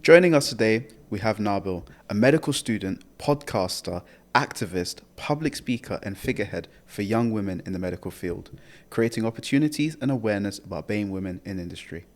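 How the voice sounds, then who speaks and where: A man's voice: lowish voice, expressive, a little fast. A young man, interviewing casually as a podcast host.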